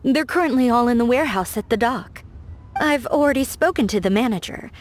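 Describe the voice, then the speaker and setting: Woman playing a gentle young lantern maker. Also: fairly high pitch, expressive, a little fast, a little hiss or hum, natural voice. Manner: polite, soft-spoken, courteous. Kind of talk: game cutscene voice acting.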